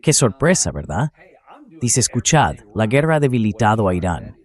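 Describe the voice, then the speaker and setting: A man's voice: on the low side, big pitch swings, moderate pace. A man hosting a political commentary show in a dubbed translation read by a synthetic voice, often posing incredulous rhetorical questions.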